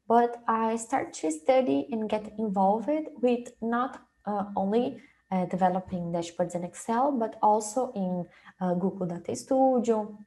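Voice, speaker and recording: A young adult woman from Brazil, a native Portuguese speaker with a Brazilian accent, presenting an informal online webinar with hesitant, halting phrasing. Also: a little high, mellow. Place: small indoor room, video call.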